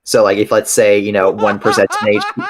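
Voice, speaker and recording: Low-voiced man explaining game rules. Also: level tone, brisk, a crowd in the background. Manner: hesitant, thinking aloud. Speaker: tabletop game player.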